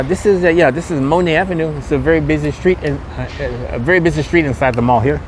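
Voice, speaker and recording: A man giving a casual walking tour commentary as a vlog host, with repetitive, promotional patter full of catchphrases, recorded outdoors on a busy street. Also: fairly high pitch. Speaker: vlogger hosting a walking podcast. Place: outdoors on a busy open-air mall street. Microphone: handheld phone camera. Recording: outdoor street noise, wind and traffic.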